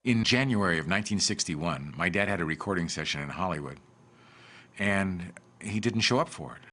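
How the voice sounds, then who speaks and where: A man's voice: low-pitched, expressive, moderate pace, sharp tone. An older American man casually recalling family memories as an interviewee. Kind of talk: casual reminiscing.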